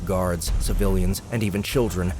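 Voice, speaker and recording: A man's voice: low-pitched, fairly even intonation, moderate pace, over music. A man narrating a fantasy audiobook, reading from a script as storyteller.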